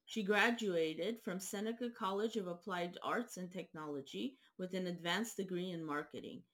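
A woman interviewer who reads a formal introduction and asks questions at a measured pace. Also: mid-range voice. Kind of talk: reading a scripted introduction.

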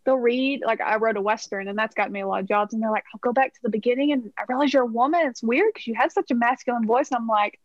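A woman's voice: fairly high pitch, dry recording, lo-fi sound. Chatty woman writer from the South. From Southern United States. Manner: chatty, many "like" fillers, quick asides. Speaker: TV writer, podcast guest. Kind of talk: casual chat.

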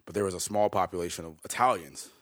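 A man in his thirties hosts a casual interview in a low, deep voice. He asks questions and muses aloud at an easy pace, often tagging on "right?". The recording is close and dry. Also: fast, no echo. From United States. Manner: relaxed, reflective questioning with "right?" tags. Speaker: interview host. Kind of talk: conversational interview.

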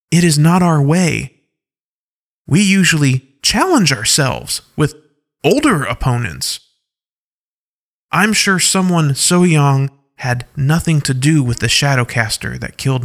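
A man's voice: on the high side, fairly bright tone, pitched above the performer's own voice, exaggerated voice. A man voicing a young female wolf-like warrior who speaks earnestly and stammers. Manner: flustered, apologetic stammer.

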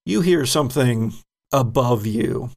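Slow, gravelly-voiced male game master. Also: mid-range voice, lively intonation, a little hiss or hum. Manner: slow, deliberate narration with chuckles. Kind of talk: narrating a tabletop horror game.

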